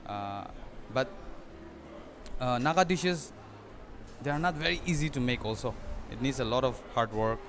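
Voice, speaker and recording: A young man, a restaurant owner, answering questions in an on-camera news interview, earnest and halting with frequent restarts. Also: medium pitch, fairly bright tone, slight background noise. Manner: hesitant, restarts often, earnest. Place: indoor restaurant dining area. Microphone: handheld reporter mic.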